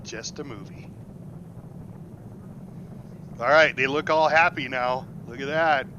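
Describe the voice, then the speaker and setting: A man's voice: mid-range voice, moderate pace, pushed and bright, highs cut off, slight background noise. A man streaming a space game, chatting casually with his chat, joking and laughing often. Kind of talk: casual live gameplay commentary.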